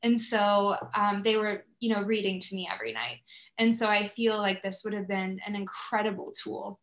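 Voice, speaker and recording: A woman's voice: medium pitch, moderate pace, a bit bright. A woman hosting a podcast, chatting in an appreciative, effusive way as she interviews a guest. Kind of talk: conversational interview.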